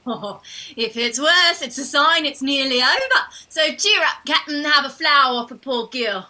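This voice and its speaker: A poor young flower girl for a stage play reading. Her voice is high and piercingly bright, swinging widely as she wheedles and wails. She talks in cheeky, wounded, ungrammatical street speech. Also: expressive, measured pace, exaggerated voice. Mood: wheedling. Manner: whining, wailing, ungrammatical street talk.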